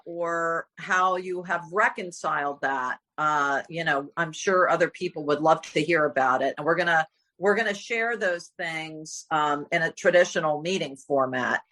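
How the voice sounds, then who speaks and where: Bright-voiced woman leading a video-call workshop. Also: fairly low pitch. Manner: gentle, halting, with many fillers. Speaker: workshop leader.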